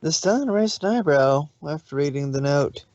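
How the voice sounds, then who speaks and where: A woman's voice: sits low, animated, moderate pace, darkish tone, no room sound, highs cut off. A woman reading scripted story narration as the narrator of a fan fiction.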